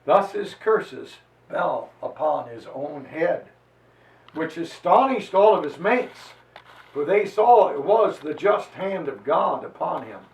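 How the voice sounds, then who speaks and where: High-pitched man declaiming in an echoey room. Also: very animated. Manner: declamatory, solemn, with dramatic pauses and swells. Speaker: preacher giving a dramatic reading. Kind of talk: theatrical dramatized reading of a historical narrative. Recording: roomy echo, distant microphone.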